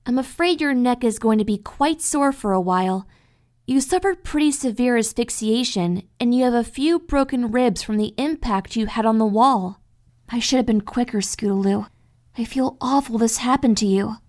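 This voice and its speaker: Woman as a fairly high, caring pony mentor. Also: normal speed, a little mellow, close to an everyday voice. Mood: reassuring. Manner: reassuring, explanatory. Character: calm, caring female cartoon pony mentor. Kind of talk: dramatic fan fiction audio reading.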